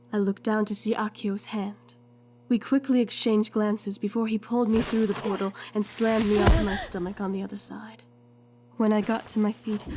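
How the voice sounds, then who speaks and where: An earnest, unsure young heroine, acted by a woman tells her own story in an audio drama. Her tone is dark and mellow at a middling pitch. A faint hum sits behind the voice. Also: natural voice. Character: hesitant young gifted woman, apologetic, narrating her own fight. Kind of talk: first-person story narration and audio drama acting.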